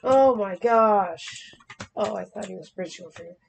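A woman with a raspy, hoarse voice from being sick, giving casual gameplay commentary, easily frustrated and prone to sudden startled outbursts. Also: mid-range voice, normal speed. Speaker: gamer. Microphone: desk microphone. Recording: loud background noise with keyboard and mouse clicks.

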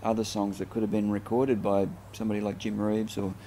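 A man's voice: fairly low pitch, level tone, fairly quick, mellow, a little hiss or hum. A man, a singer from India, talking in an interview about recording his album in a studio. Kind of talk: documentary interview. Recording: music playing behind the talk.

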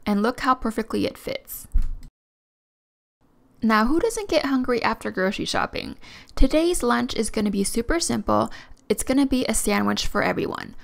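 A woman's voice: on the high side, lively intonation, normal speed, gravelly. A young woman home-making vlogger giving a casual voice-over narration. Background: background music.

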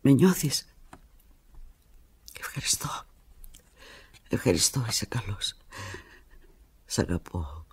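An actress playing an anguished, lovelorn woman pleading with her departing lover in a halting, volatile radio theatre monologue. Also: a bass voice, very animated, a little mellow, breathy edge, whispered, full character voice. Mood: hesitant pleading.

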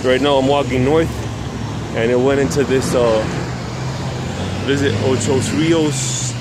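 A man vlogging as he walks city streets at sunset, rambling and restarting, recorded on a handheld camera mic. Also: mid-range voice. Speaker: vlogger exploring old rail sites. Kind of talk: casual walking commentary. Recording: outdoor traffic noise. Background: street traffic.

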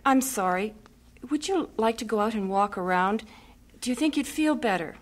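A woman's voice: fairly high pitch, animated, normal speed, lightly acted. An actress playing an earnest, restless young schoolteacher in her twenties in a radio drama. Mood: sympathetic. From Canada.

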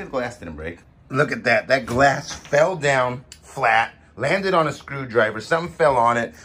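A man's voice: mid-range voice, fairly bright tone. A man in his forties chatting casually as a vlog host, informal and slangy, commenting as he sorts through things. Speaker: host of a storage auction vlog. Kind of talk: casual vlog chat and commentary while sorting finds. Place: outdoors at a storage unit lot, partly in a vehicle. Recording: noisy outdoor audio with vehicle sounds.

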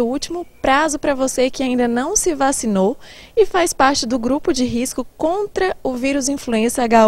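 A woman's voice: sits high, no echo. A young woman with a Brazilian Portuguese accent, working as a TV reporter, presenting and interviewing into a handheld mic outdoors. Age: young adult. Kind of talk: live news reporting and interviewing. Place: outdoors in a building's garden. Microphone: handheld reporter microphone.

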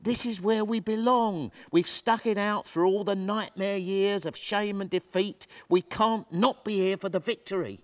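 A man plays a pub landlord in a stage drama, a steadfast husband under strain. His voice sits a little high, and he speaks with earnest, stubborn emphasis. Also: unhurried, few pauses. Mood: earnest, resolute. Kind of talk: dramatic script reading on stage. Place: theatre stage, seated reading.

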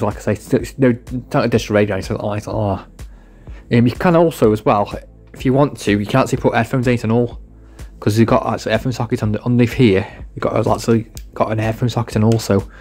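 A man's voice: fairly low pitch, steady tone, fast talker, a little mellow, faint music behind. A man giving a casual, informal product demonstration, rambling and repeating himself as he goes. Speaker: product reviewer.

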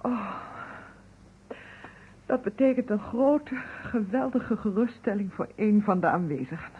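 An actress in an old Dutch radio play playing an anxious, distressed woman with a breathy, husky voice. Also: medium pitch, animated, a little slow. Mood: tense. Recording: muffled old radio recording.

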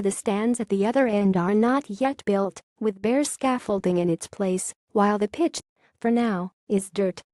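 A computer-made woman's voice reads a news article in a steady, mechanical way. Her tone is warm and mellow at an ordinary middle pitch. The sound is close and dry, with no room around it. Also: no echo. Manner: flat, mechanical read that voices every digit and repeated line. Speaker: news reader. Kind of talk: text-to-speech article reading.

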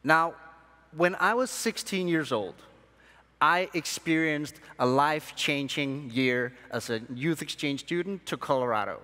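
A young man, born in Sri Lanka and raised in Denmark, giving a keynote speech to a convention audience from a large hall stage with measured, emphatic phrasing. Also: on the high side, bright, forceful tone. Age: young adult. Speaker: conference speaker. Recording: long hall reverb.

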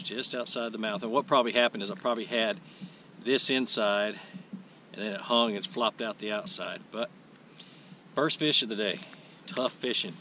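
A man's voice: lowish voice, a little hiss or hum. Man explaining fishing from a kayak. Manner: relaxed, explains while casting, frequent restarts. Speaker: fishing video host. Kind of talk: casual how-to narration. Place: kayak on an open lake outdoors. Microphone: action camera mounted on the boat.

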